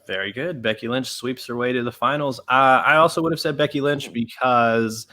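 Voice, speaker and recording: A man's voice: medium pitch, moderate pace, pushed and bright. Male podcast host, easygoing, keeps the rounds moving. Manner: announces matchups, calls on others by name. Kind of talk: casual chat among friends.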